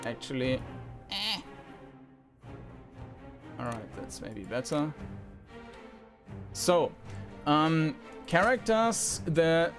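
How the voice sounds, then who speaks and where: A man giving unscripted commentary on a game, thinking aloud in a hesitant way. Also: on the high side. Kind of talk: unscripted preview commentary over gameplay. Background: game music.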